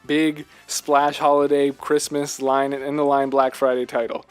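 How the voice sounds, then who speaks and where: A man's voice: mid-range voice, level tone, unhurried, clear, resonant tone. Enthusiastic man co-hosting over music. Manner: enthusiastic, emphatic fan talk. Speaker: podcast co-host. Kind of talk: casual video game discussion.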